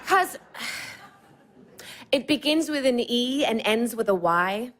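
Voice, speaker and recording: A woman playing a lively, teasing young wife. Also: a little high, a little hiss or hum. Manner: teasing, quick, animated. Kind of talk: audio play acting.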